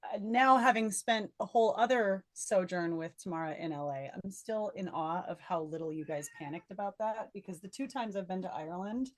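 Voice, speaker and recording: A woman's voice: medium pitch, brisk, hardly any pauses, no echo. A woman with an American accent in a casual chat with friends as a podcast host. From Minnesota, United States.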